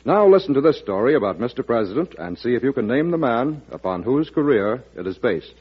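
A man's voice: mid-range voice, very animated, brisk, slightly rough. A man announcing and telling a story in an emphatic, polished old-time radio style. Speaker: announcer and quiz host. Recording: muffled vintage radio broadcast.

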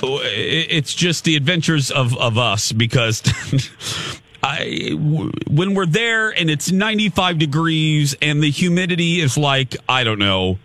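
A man co-hosting a morning radio show, telling a story in a lively, chatty way with laughs, close on a studio microphone. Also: fairly high pitch, a little slow, faint music behind.

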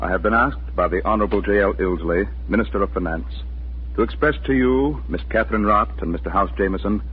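A man's voice: fairly low pitch, a little hiss or hum. A man announcing formally from a script in oratorical 1940s radio style. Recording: tinny 1940s radio broadcast, faint music.

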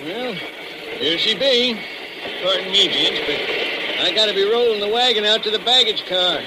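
A high-pitched, folksy station hand from a small town in an old radio drama. He talks in plain rural phrases and dropped grammar. The old recording is plainly noisy. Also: unhurried. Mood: folksy, easygoing. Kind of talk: 1940s radio acting.

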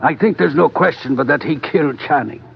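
An actor playing an earnest, determined trader in an old-time radio adventure, speaking in a measured, formal way. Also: male voice, on the high side, vocal fry. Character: earnest, determined male trader in the colonial East. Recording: dull, noisy vintage radio recording.

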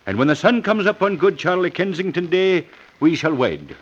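An actor playing a fussy, calculating, cowardly suitor, pedantic and theatrical in 1950s radio style. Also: male voice, high-pitched, big pitch swings. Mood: pompous. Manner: pedantic, deliberate, comically theatrical. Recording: muffled vintage radio, faint noise.

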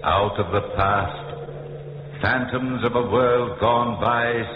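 A man playing a solemn, portentous announcer of eerie tales, in drawn-out, grandiose 1940s radio style. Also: mid-range voice, fairly even intonation. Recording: echoey, tinny old radio recording with noise.

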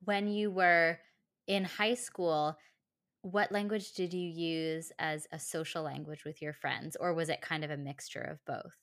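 A woman with a somewhat low voice hosts an interview, guiding it with short, gentle questions. Her voice is recorded close and dry, with no room echo. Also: steady tone, a little slow. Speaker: podcast host, interviewer. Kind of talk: conversational interviewing.

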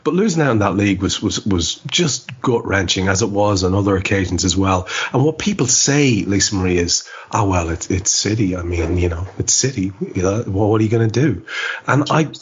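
A man hosting a football podcast in a reflective, halting, self-questioning way, often circling back over his words. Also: low voice, animated, breathy edge. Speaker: podcast host. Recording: dull lo-fi podcast audio.

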